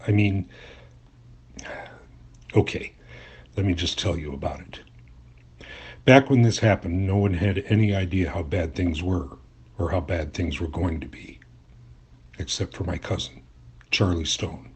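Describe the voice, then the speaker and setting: Very deep-voiced, earnest journalist. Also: male voice, unhurried, pauses often, slightly rough, exaggerated voice. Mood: somber storytelling. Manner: conversational, earnest storytelling. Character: journalist cousin, earnest, curious, mildly skeptical storyteller. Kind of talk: audio drama acting with first-person narration.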